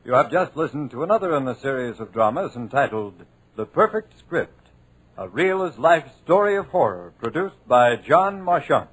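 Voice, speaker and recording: A man's voice: mid-range voice, very animated, moderate pace, slight background noise, low-quality recording. A male announcer giving the formal closing of a 1940s radio drama.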